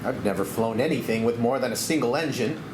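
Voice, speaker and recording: A man's voice: mid-range voice, quick pace, lightly acted. A man playing an anxious, melodramatic former war pilot who doubts himself, in a screenplay table read. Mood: despairing. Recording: echoey room with background noise.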